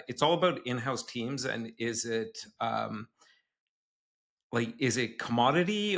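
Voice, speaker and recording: A man's voice: medium pitch, vocal fry, fairly bright tone. A man hosting a podcast, reading an intro and then asking questions with many hesitations. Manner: hesitant, filler-heavy when unscripted. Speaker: podcast host. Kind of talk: scripted intro then casual interview hosting.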